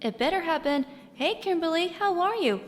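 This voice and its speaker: A woman's voice: very high-pitched, expressive, moderate pace. A woman playing a sarcastic, exasperated teenage schoolgirl in an audio drama. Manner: snarky, muttering asides.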